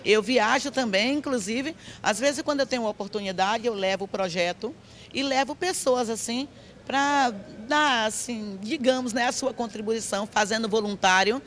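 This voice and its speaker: A woman's voice: a little high, normal speed, fairly bright tone, a little hiss or hum. A woman with a Bahian Brazilian accent giving spontaneous personal testimony in a street interview. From Brazil, interior of Bahia, raised in Salvador. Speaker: member of the public, community leader and church president. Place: outdoor public square, on a small stage. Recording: outdoor TV recording with street ambience.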